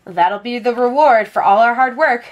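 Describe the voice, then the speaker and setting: Woman doing an eager lil country girl from a storybook, highish lilting voice, loves food. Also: normal speed, dull audio, close to an everyday voice. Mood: excited.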